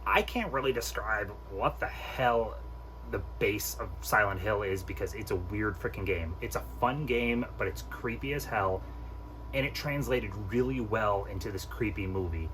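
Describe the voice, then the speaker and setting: A man's voice: lowish voice, normal speed, fairly bright tone, slight background noise, poor audio. A man hosting a casual movie countdown review, speaking informally and with strong opinions, with some mild swearing. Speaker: host of a movie countdown video.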